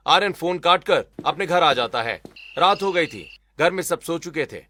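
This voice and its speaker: High, bright-voiced man narrating. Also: expressive, normal speed, a little hiss or hum. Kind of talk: scripted story narration.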